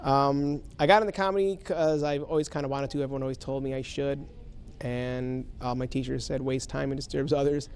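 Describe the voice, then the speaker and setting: A man's voice: mid-range voice, fairly even intonation, darkish tone, a little hiss or hum. A man in his late thirties, a comedian, talking casually in an interview with self-mocking jokes. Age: 30s. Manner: self-mocking, quick joking asides.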